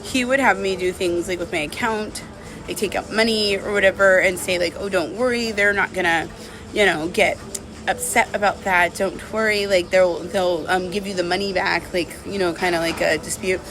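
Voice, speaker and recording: A woman's voice: fairly low pitch, steady tone, normal speed. A woman talking casually and confessionally to camera as a vlogger, candid and rambling, with lots of "like" and "you know". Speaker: vlogger telling a personal breakup story.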